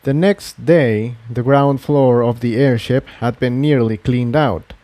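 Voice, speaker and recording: A man's voice: mid-range voice, takes it slowly, mellow, no room sound. A man reading scripted fiction aloud as the narrator of a fantasy adventure.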